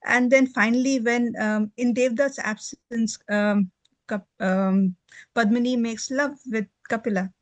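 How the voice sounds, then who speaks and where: A speaker offers thoughts in a book discussion, in a hesitant, halting manner. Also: mid-range voice, steady tone, no room sound. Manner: hesitant, halting, frequent repetitions. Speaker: book club discussion participant. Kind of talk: book discussion, improvised commentary.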